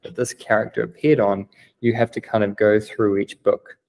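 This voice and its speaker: A young man with a New Zealand accent talks on a video call through earbuds. His voice sits fairly low, and he explains eagerly with plenty of "um" fillers. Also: flat delivery, normal speed, vocal fry, a little mellow. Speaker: guest, business developer. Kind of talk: remote video interview. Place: plain-walled room indoors.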